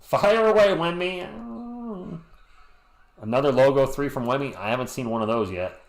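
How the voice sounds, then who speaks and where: A man's voice: medium pitch, lively intonation, normal speed. A man from Western Massachusetts calling a basketball game play by play on a live stream, chatting with viewers and cracking jokes between plays. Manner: chatty, answers chat messages with joking asides. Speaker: sports stream host. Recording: roomy echo.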